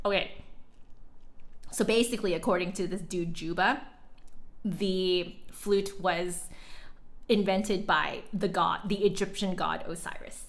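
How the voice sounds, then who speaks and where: A woman's voice: lowish voice, steady tone, unhurried, pauses often. A woman livestream host reading an old text aloud dramatically, breaking off for informal, chatty asides to viewers.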